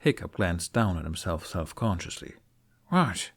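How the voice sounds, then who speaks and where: A man reading a story aloud as narrator in an expressive audiobook style. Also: on the low side, normal speed.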